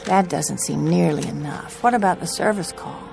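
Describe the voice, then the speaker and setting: Anxious young woman, gravelly edge. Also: sits low, normal speed, music in the background. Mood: polite, distracted. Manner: hesitant, uneasy, polite. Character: anxious, haunted young woman driving cross-country alone. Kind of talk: radio drama acting.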